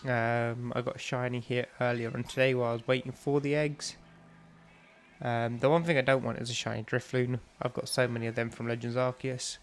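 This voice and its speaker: A man's voice: medium pitch, little pitch movement, brisk, soft background music. A young man with a stuffy, bunged-up nose, chatting casually and rambling with lots of ums while he streams a game. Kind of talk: casual live-stream chat while playing.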